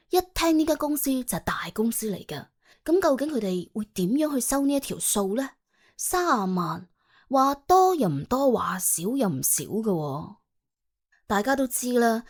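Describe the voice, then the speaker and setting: A woman narrates a comic crime story in an expressive, conversational storytelling style. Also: a little high. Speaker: audiobook narrator and host.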